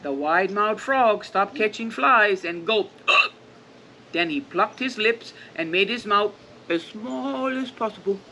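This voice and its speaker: A woman's voice: medium pitch, lively intonation, takes it slowly, pushed and bright, slight background noise, low-quality recording. A woman hosting a children's storytime, reading aloud and prompting young listeners with simple questions. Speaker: storytime host and reader.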